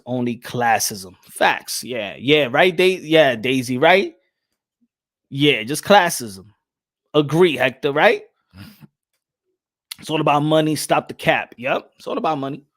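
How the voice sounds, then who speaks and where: A young adult man hosting a casual boxing livestream, animated and repeating his phrases as he reads out the chat. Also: mid-range voice. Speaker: boxing channel host.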